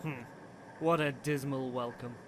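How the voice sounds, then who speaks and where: A man's voice: mid-range voice, measured pace, noisy background, lightly acted. A man playing a cold, sardonic commander in his late twenties, curt and direct, in an audio drama. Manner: calm, direct, curt, disdainful. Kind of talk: radio-play acting.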